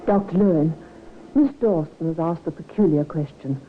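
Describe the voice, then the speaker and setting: Actress as anxious nurse on tinny old radio. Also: female voice, deep voice, normal speed, soft background music, close to an everyday voice. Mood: troubled. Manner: polite, earnest, formal. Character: anxious, devoted woman nurse, proper and easily offended. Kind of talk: old radio drama acting. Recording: tinny, lightly noisy old radio recording.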